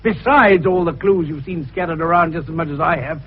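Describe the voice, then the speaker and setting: A man's voice: on the high side, brisk, slightly rough. A man playing a jovial, shrewd older British doctor turned detective in 1950s radio drama, avuncular, folksy and teasing. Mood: jovial, confident. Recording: dull vintage radio recording.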